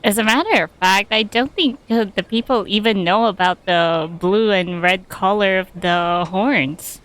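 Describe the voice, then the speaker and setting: Female game streamer: hesitant, casual commentary, slow delivery, average pitch. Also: fairly bright tone, rough recording. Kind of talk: casual gameplay commentary, reading some dialogue aloud.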